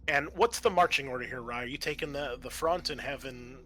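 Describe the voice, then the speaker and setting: A man working as game master of a tabletop roleplaying game, narrating in a conversational, halting way. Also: medium pitch, bright, forceful tone.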